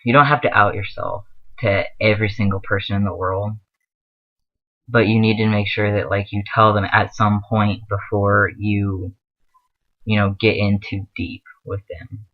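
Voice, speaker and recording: A man's voice: on the low side, little pitch movement, a little slow, narrow, tinny audio. A young man giving earnest, rambling advice in a casual vlog, with many fillers. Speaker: vlogger.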